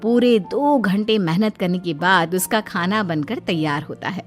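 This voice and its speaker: A woman's voice: fairly low pitch, a little slow, soft background music. A woman narrating a scripted cartoon story as its storyteller.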